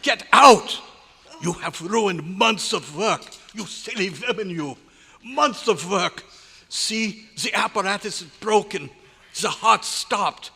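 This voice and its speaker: An actor playing a stern, excitable scientist with a German accent and formal, emphatic phrasing in an old-time radio drama. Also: male voice, sits high, takes it slowly, creaky, slightly rough, full character voice. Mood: alarmed, furious. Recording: echoey live hall recording, faint noise.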